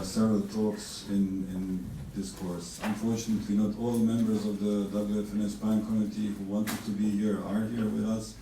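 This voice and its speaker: A neurosurgeon from Bosnia and Herzegovina lectures at a course in a flat, dark-toned voice, stopping to restart his phrases now and then. He sits well back from the mic, so the hall rings loudly around him. A faint hiss runs under the recording. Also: lowish voice. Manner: measured, with frequent fillers and restarts. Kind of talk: conference lecture. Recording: distant mic in an echoey hall with faint noise.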